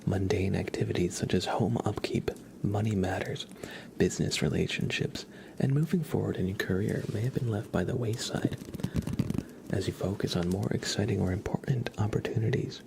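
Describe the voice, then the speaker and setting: Man, soft ASMR livestream delivery, low creaky voice, murmuring and half-whispered, faint steady noise behind. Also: normal speed. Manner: murmuring, often slipping into whispers. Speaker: livestream host.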